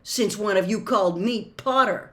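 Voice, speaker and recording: A woman's voice: mid-range voice, moderate pace, a bit bright, voice pushed up, close to an everyday voice. A woman voicing a determined, caring and cheeky teenage boy with a firm, direct manner in a storybook reading. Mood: pointed, cheeky.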